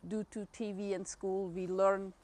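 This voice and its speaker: A woman's voice: mid-range voice, flat delivery, a little slow, a little mellow. A woman from Switzerland, a native Swiss German speaker, speaking English with a Swiss German accent as an interview guest, chatty and laughing often. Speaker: guest runner. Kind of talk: casual interview talk.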